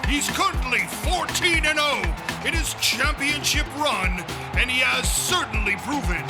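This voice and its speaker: A man playing an excited ring announcer in a radio drama, with showy, drawn-out hype over loud music. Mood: hyped. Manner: showy, booming announcer phrasing. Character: excited arena ring announcer hyping a champion. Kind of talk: amateur radio drama acting.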